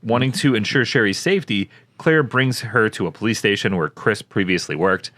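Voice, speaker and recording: A man's voice: on the low side, a little fast, fairly bright tone. A man co-hosting a comedy podcast, reading plot summaries and breaking off for crude, joking asides. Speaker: podcast co-host.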